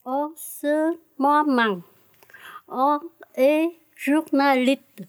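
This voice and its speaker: A woman learning French, reading reportage lines and listing words in halting, word-by-word speech. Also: high-pitched, fairly even intonation, no room sound.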